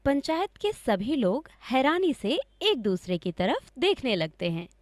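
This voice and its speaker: A woman's voice: sits high, very animated, unhurried, dry recording, studio quality. A woman narrating a scripted story for children as a storyteller.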